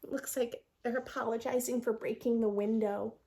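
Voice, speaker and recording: A woman reading a picture book aloud for young children in an expressive, sing-song storytelling manner, adding her own asides. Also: on the high side, normal speed, gravelly. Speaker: children's read-aloud host.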